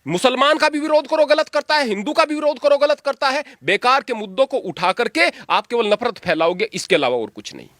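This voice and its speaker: A man's voice: very high voice, animated, a little fast, hardly any pauses, a bit bright, dry recording, clean studio sound. A man giving his opinions in an interview like a preacher, emphatic and exhorting, repeating phrases for stress.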